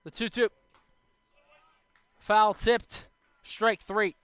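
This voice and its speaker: A young adult man doing live baseball play-by-play as the announcer, speaking in short clipped phrases with measured pauses. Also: high voice, little pitch movement, a bit bright, clear, resonant tone, no echo. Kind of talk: live sports play-by-play commentary.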